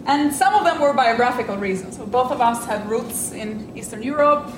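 A middle-aged woman from Albania whose first language is French, giving a measured, reflective academic lecture with touches of self-deprecating humor. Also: a little high, expressive, far from the mic, background noise. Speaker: invited speaker. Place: lecture room. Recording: echoey room with faint ambience.

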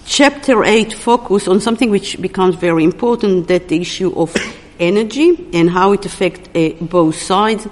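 A woman with a fairly low voice gives an academic conference talk about a book. Her delivery is halting, and she often pauses to search for words. The sound rings with the long reverb of a large lecture hall. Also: darkish tone. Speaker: academic presenting an edited book. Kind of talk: conference presentation with slides.

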